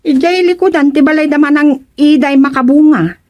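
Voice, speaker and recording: A woman's voice: high-pitched, a little fast, darkish tone, close to an everyday voice. A woman with an Ilocano accent playing an eager village councilor in a radio comedy-drama, speaking in a keen, lilting, deferential way. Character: eager female village councilor, proud of her gardens.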